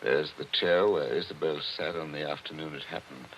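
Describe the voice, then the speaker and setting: An actor playing a brooding attorney who narrates wistfully in a 1940s radio mystery. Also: male voice, brisk, fairly bright tone, slight background noise. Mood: reflective. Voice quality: low, reflective, wistful. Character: brooding middle-aged male attorney narrator. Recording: dull old radio recording with music.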